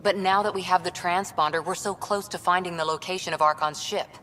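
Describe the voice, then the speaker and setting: An actress playing an outspoken, sardonic crewmate of space explorers in a video game cutscene, talking casually. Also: female voice, fairly even intonation, a little fast, pushed and bright. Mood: casual, insistent. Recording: reverberant room, faint rumbling noise.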